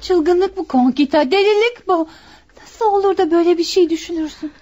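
A woman's voice: extremely high pitch, normal speed, mellow, dry recording. An actress playing a shocked, sheltered young rich woman, exclaiming melodramatically in a radio play. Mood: horrified. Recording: dull, dry radio recording.

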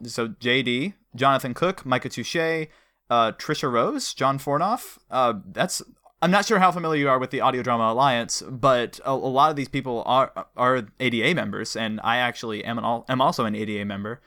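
A man's voice: medium pitch, a little fast, bright, forceful tone. A man hosting a casual, conversational interview in an enthusiastic, supportive manner. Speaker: interview host.

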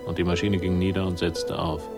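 An actor playing a dry, bitter investigator in a radio crime drama, laconic and sardonic. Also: male voice, level tone, brisk, loud music behind the voice. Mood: weary narration. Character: dry, bitter male investigator, quietly hostile. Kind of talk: 1960s German radio crime drama acting and narration. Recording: roomy, distant, faintly rumbling radio recording.

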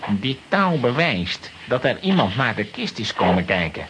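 Brooding, vengeful vagrant, noisy recording. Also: male voice, mid-range voice, big pitch swings, unhurried. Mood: ominous, resolute. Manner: brooding monologue. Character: vengeful, broken male vagrant, ominous. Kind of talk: children's audio drama acting.

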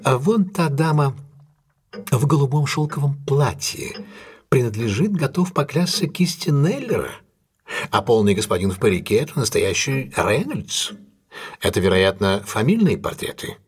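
An actor playing a shrewd, ironic gentleman detective in an audio drama, with a husky, measured, commanding delivery. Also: medium pitch, very animated. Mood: intrigued. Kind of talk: Russian audio drama acting.

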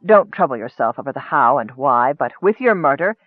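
A man's voice: medium pitch, expressive, fairly quick, dry recording, lightly acted. Man voicing a cold commanding wizard, scheming, impatient, storybook villain, tinny phone-like sound, dry. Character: scheming cold male goblin-hiring wizard.

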